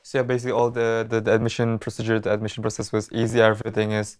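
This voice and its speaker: A man's voice: on the low side, monotone, rapid-fire, clean tone, no room sound, studio quality. A young man from Indonesia with an Indonesian accent, hosting a student talk show in an informal, word-repeating way. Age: young adult. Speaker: student host.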